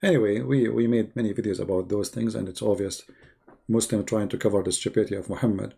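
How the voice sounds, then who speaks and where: Male live stream host, mellow dark tone, gravelly rasp, sarcastic, repetitive, off-the-cuff. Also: fairly low pitch, steady tone, fast talker. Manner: teasing, sarcastic, repeats phrases. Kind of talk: rambling religious debate live stream chat.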